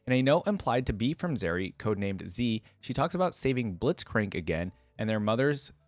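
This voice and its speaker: A man's voice: medium pitch, big pitch swings, fairly quick, no room sound. A man hosting a reaction video, commenting casually and thinking aloud in an informal way as he goes.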